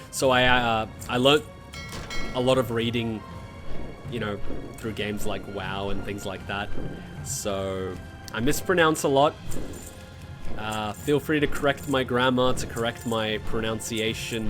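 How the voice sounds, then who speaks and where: Rambling self-mocking man. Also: lowish voice. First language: Serbian. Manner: rambling, self-deprecating, swears casually. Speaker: gaming guide creator. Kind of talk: casual gameplay commentary. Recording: music playing behind voice.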